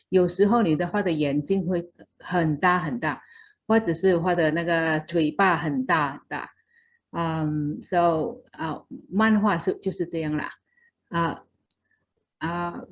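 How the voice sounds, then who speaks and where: Lower-voiced woman on a video call, friendly, brisk interpreter, dull muffled sound. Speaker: class host and interpreter.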